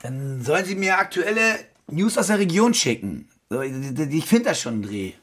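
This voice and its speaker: A man's voice: on the high side, sing-song, normal speed, slightly rough. A man playing an impatient, pushy war reporter in radio drama dialogue. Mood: exasperated, insistent. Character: impatient, pushy male war reporter who fakes stories.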